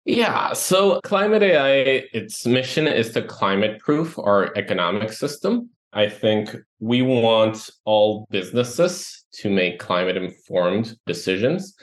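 Low-voiced man from Ecuador, explaining. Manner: explanatory, lists items, some restarts. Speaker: guest, company co-founder and CTO. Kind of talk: podcast interview answers.

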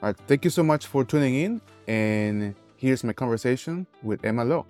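A man's voice: medium pitch, expressive, moderate pace, a little mellow, soft background music. A man hosting a podcast, talking earnestly in short phrases with some restarts. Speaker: podcast host. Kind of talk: podcast intro and casual interview.

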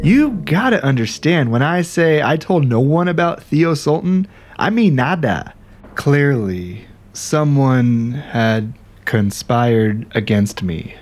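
An actor playing an aggrieved, self-dramatizing ghostwriter in a conversational, wry, indignant audio drama monologue. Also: male voice, mid-range voice, a little mellow. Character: aggrieved, self-dramatizing male ghostwriter telling his grievances. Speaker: narrator and protagonist.

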